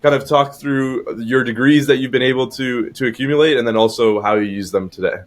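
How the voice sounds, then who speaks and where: A man's voice: mid-range voice, a little fast. A man hosting a podcast, asking casual questions and repeating words as he sets them up. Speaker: podcast host.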